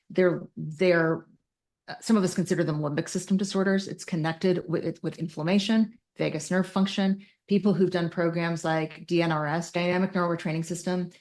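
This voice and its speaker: A woman's voice: on the low side, darkish tone. A woman speaking as an interview guest, explaining things in detail with technical terms and emphasis. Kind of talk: explanatory interview talk from personal and clinical experience.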